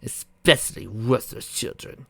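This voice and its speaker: A man voicing a cruel, arrogant male villain who talks in a slow, sneering, menacing way. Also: mid-range voice, creaky, slightly rough, no room sound.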